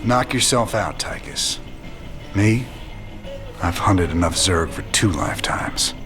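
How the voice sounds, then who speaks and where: An actor playing a weary, gruff rebel commander in a video game. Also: male voice, sits low, expressive, slow pace, over music. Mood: weary, grim. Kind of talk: cinematic video game acting.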